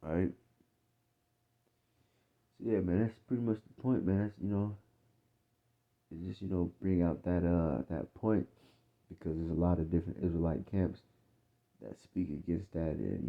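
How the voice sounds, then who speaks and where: A man with a very low voice and an American accent teaches scripture off the cuff. He talks bluntly, with swearing, repeated phrases and frequent check-ins. The sound is thin and muffled, as if over a phone. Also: moderate pace, vocal fry, long pauses. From United States. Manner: blunt, profane, repetitive street-talk delivery with frequent check-in phrases. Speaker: religious teacher. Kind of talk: informal off-the-cuff religious teaching.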